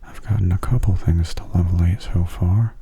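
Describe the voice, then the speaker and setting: Male ASMR gamer, very low and gravelly, soft-spoken, gentle, breathy, unhurried delivery. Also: fairly even intonation. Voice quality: soft, breathy, often half-whispered. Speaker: gamer giving gameplay commentary.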